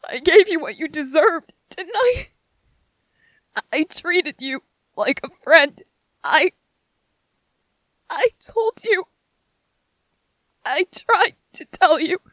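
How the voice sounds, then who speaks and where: A woman's voice: very high-pitched, animated, slow pace, pauses often, a bit bright, no room sound, heavily acted. A woman playing a tender, anxious young man in an ASMR roleplay drama, stammering and repeating phrases as they speak to the listener. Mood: hurt, distraught.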